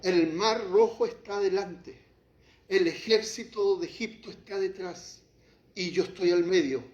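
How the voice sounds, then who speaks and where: A man preaching a sermon as pastor, shifting from quiet, low passages to raised, heated lines. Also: a little slow. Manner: impassioned preaching, drops to quiet, then rises to acted outbursts. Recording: echoey hall, muffled lo-fi sound.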